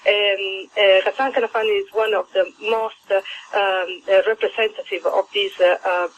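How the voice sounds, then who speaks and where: A woman's voice: mid-range voice, fairly even intonation, normal speed. Italian woman on a radio interview, lots of uhs and ums, kinda muffled lo-fi sound. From Italy. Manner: hesitant, many fillers and restarts. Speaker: guest, play adaptor.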